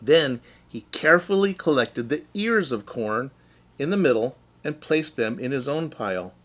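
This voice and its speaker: A man reading a storybook aloud as a teacher, speaking deliberately and simply to young children. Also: medium pitch, lively intonation, a bit bright.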